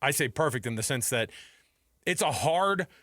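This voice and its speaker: A man's voice: mid-range voice, animated, moderate pace, a bit bright, no echo, clean studio sound. A man with an American accent co-hosting a sports talk show, thinking aloud in colloquial, casual banter. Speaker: sports radio co-host.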